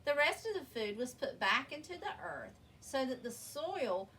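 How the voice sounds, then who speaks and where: A woman reading a children's picture book aloud in an expressive, deliberate way, drawing out words for emphasis. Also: sits high. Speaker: storybook reader. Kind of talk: read-aloud children's story narration. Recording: distant mic with faint background noise.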